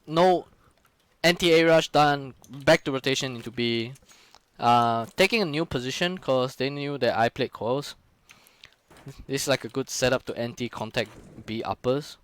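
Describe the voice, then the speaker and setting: A young man talks loosely through a game replay, swearing freely and dropping plenty of fillers. His voice sits at an ordinary middle pitch. The sound is dry and close, with no room around it. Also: clear, resonant tone, dry recording. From Singapore. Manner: casual, frequent swearing and fillers. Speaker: streamer and in-game leader. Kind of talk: casual live-stream game analysis.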